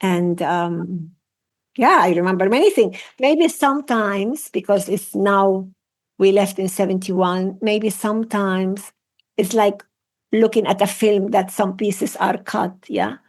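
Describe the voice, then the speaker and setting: A woman's voice: medium pitch, slow pace. A woman in her sixties from Iraq, raised in Venezuela, whose first language was Assyrian Aramaic, speaking reflectively as a guest with frequent restarts. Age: 60s. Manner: reflective, repeats and restarts phrases. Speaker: guest, psychotherapist and breathwork trainer. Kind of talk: casual interview conversation.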